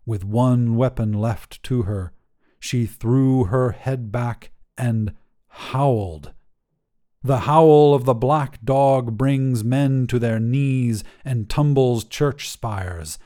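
Slow, mellow male story narrator. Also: mid-range voice. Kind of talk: scripted literary fiction narration.

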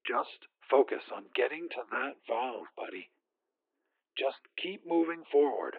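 A man's voice: mid-range voice, lively intonation, a little slow, narrow, tinny audio. A man voicing a tired, patient mission-control man guiding a stranded astronaut over a radio link, in an audio drama. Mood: reassuring. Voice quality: weary. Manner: patient, reassuring, instructing. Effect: radio filter. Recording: thin, band-limited radio transmission.

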